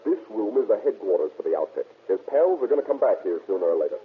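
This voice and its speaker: An actor playing a shrewd, hard-nosed American police detective in a 1930s radio crime drama, brisk and insistent. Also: male voice, on the low side, big pitch swings, exaggerated voice. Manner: brisk, insistent, matter-of-fact. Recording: muffled, raspy old radio recording.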